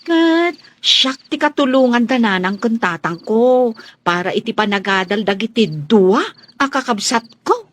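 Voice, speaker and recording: A woman playing a friendly young housemaid in a radio drama, polite and expressive. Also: fairly high pitch, measured pace. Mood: shy, humble. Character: friendly young housemaid, hard-working eldest sister.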